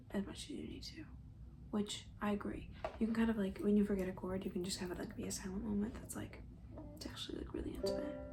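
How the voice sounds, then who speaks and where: A woman doing soft-spoken ASMR roleplay as a camp counselor, chatting in a gentle, breathy, rambling way that often slips into a whisper. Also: medium pitch, background noise. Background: banjo.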